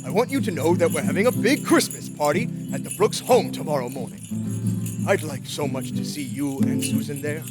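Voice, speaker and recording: A man acting a kindly, jolly old Santa figure in an old-time radio drama, with an earnest, theatrical, gentle manner. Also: on the high side, a little fast, loud music behind the voice, close to an everyday voice. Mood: warm, pleased.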